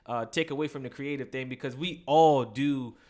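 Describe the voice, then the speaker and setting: A young man hosting a podcast interview, talking in a relaxed, halting way with restarts. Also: mid-range voice. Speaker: podcast host. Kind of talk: casual interview chat.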